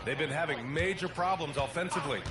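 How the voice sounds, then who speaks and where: An actor playing a polished TV play-by-play announcer calling a basketball video game. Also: male voice, on the high side, quick pace, a loud crowd, lightly acted. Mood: focused. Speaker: play-by-play sports commentator. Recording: distant, echoey, loud music over game audio. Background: loud music, bouncing basketball.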